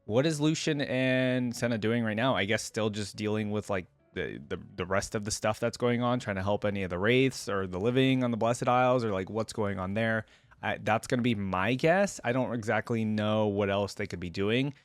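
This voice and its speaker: A man's voice: fairly low pitch, moderate pace, no room sound, soft background music. A young man giving casual, off-the-cuff reaction commentary as a video host, informal and rambling. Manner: informal, rambling, tags points with "right?".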